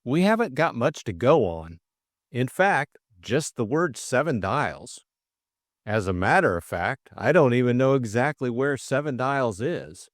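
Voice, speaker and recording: A man voicing a breezy, reasoning young gentleman sleuth in an audiobook. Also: medium pitch, big pitch swings, a little slow, no echo, studio quality. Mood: musing. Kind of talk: audiobook character dialogue.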